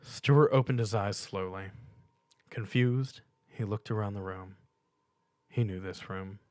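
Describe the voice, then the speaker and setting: A man's voice: on the low side, unhurried, darkish tone, dry recording, studio quality. A man reading a piece of fan fiction aloud as dramatic story narration.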